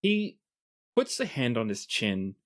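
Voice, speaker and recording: A man's voice: mid-range voice, wildly swooping pitch, takes it slowly. A man running a tabletop game as game master, narrating and speaking in quotes for the people his players meet. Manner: plays non-player characters in quoted lines.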